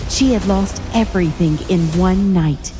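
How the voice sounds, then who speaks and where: A woman's voice: medium pitch, normal speed, mellow, in a large hall, faint music behind. A woman reading scripted narration for a dramatic story.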